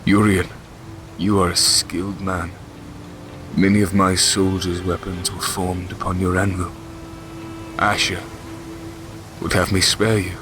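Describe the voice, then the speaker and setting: A man's voice: low voice, level tone, measured pace, long pauses, noisy background. An actor playing a stern, wrathful king in a fantasy audio drama, with a commanding and formal archaic manner. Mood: hard resolve. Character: stern, wrathful warrior king granting cold mercy.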